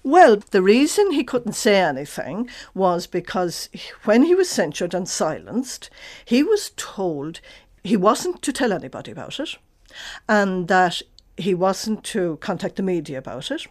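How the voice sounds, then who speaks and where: Slow, measured woman. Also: mid-range voice, a little mellow. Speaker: theologian and author, interview guest. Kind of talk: serious, deliberate interview talk.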